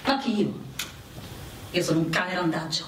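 Actress as defiant wartime prostitute, distant noisy recording. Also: female voice, lowish voice, normal speed, close to an everyday voice. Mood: confiding, proud. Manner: brash, theatrical, confiding. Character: proud, defiant, worldly wartime brothel prostitute. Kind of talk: dramatic radio play dialogue. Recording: roomy, distant mic with loud background noise.

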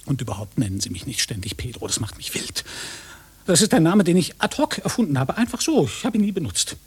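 A self-important, lecherous phoney policeman in a radio drama. His voice is markedly husky and leaps about in pitch. He is pushy and theatrical, with a pedant's love of his own words. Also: big pitch swings, brisk, heavily acted. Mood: indignant, wheedling. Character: vain, pushy fake policeman, lecherous self-styled seducer. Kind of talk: German radio play acting.

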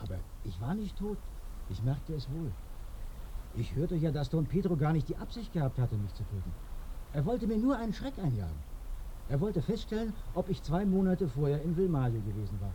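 A murdered man pleads his case from the grave in a radio drama. His voice is very mellow yet swings widely in pitch, insistent and defensive, over faint noise. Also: very animated, fairly quick, rough recording. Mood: haunted, dazed. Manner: insistent, protesting, self-justifying.

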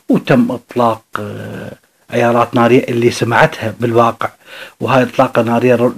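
A man with an Iraqi Arabic accent explaining a self-help book in his own words, unscripted and halting, often pausing and restarting. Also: mid-range voice, husky.

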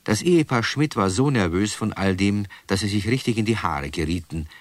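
Sehr tiefe männliche Erzählerstimme. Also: male voice, on the low side, expressive, a little fast, dry recording. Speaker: narrator. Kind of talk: scripted children's radio play narration.

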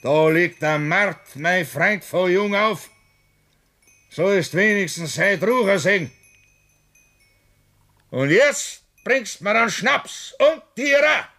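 Boisterous Bavarian country carter, swooping pitch. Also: male voice, expressive, bright, forceful tone, exaggerated voice. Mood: hollering, boisterous. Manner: unhurried, obstinate, earthy. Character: stubborn, boisterous, schnapps-loving country carter. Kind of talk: 1960s German radio play acting.

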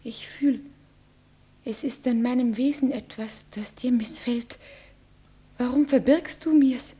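A woman playing a fearful, devoted young bride, speaking verse in a breathy, often whispered voice. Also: on the high side, takes it slowly, mellow. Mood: pleading.

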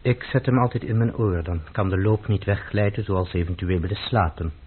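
Troubled, solemn man for radio drama; lowish, deliberate delivery, narrow phone-like sound. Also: breathy edge. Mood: calmly instructing. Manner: deliberate, formal, demonstrative. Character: grave, troubled man obsessed with purity, calmly instructing. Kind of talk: radio play acting. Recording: muffled, phone-like old radio recording.

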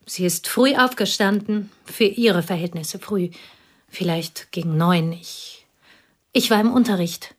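A woman's voice: mid-range voice, unhurried, close to an everyday voice. A woman playing a guarded village piano teacher. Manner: guarded, terse, dryly ironic. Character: reserved provincial female piano teacher, guarded and dry. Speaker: actress in a radio drama. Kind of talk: radio drama acting.

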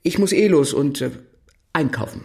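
A man's voice: fairly high pitch, animated, moderate pace, warm tone. An actor playing an awkward, polite, eccentric man in his thirties in an audio drama, speaking hesitantly. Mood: flustered. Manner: hesitant, evasive, mild.

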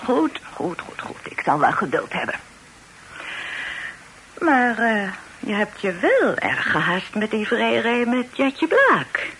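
An actress playing a fussy, bossy middle-aged aunt in a radio drama, chattering and flustered. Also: female voice, a little high, sing-song, slow, fairly bright tone, exaggerated voice. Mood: reproachful, huffy. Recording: noisy old radio play recording.

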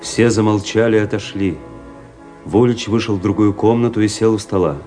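Radio play casting: male actor as grave officer-narrator, deep voice, measured and brooding, light music underneath. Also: fairly even intonation, warm tone, close to an everyday voice. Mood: ominous. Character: grave officer recounting a fateful memory.

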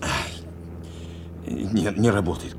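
An actor playing a steadfast, protective bomber navigator in a war radio drama, speaking with earnest insistence. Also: male voice, low-pitched, slow, noisy background. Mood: controlled, concerned. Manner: insistent, repeating short phrases. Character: steadfast male bomber navigator, urging and protective of his commander. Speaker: actor, also narrating in the first person.

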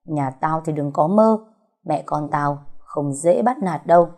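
Woman playing mean pregnant aunt, low dusky voice, sneering, quarrelsome, jealous, audio storybook. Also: measured pace, darkish tone. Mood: menacing. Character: spiteful, jealous pregnant aunt, bullying and shrill-tongued.

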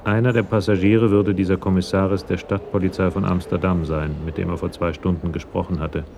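A man's voice: low-pitched, level tone, fairly quick, background noise. A man narrating a crime radio drama from a script in a storytelling role.

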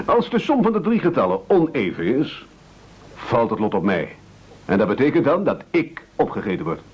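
An actor playing a formal, commanding castaway gentleman in an absurdist radio play, measured and over-polite. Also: lowish voice, husky, heavily acted. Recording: dull lo-fi old radio recording.

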